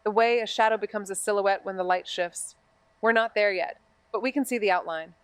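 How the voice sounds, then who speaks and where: A woman's voice: mid-range voice, brisk, smooth, no room sound, close to an everyday voice. A woman acting a wry, profane young amateur detective in a noir audio drama, moving between casual banter and narration. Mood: wry, hushed narration.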